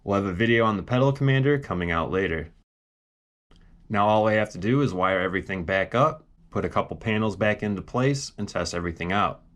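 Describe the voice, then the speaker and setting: A man's voice: on the low side, a little fast, fairly bright tone. A man casually walking through a do-it-yourself project in a plainspoken, explanatory way. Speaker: DIY car vlog host. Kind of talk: casual project walkthrough with voice-over.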